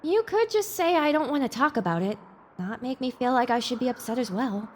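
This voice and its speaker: A woman's voice: high voice, lively intonation, a little fast, a little hiss or hum. A woman voicing a troubled young filly survivor, blunt and emotional, in an audio drama. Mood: hesitant. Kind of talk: audio drama character acting.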